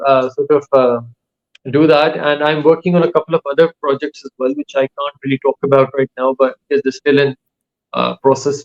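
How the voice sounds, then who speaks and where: A male author, whose first languages are Urdu and Saraiki, giving casual interview answers in a thoughtful way that pauses often mid-sentence. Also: mid-range voice, highs cut off. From Pakistan. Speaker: author and writing teacher.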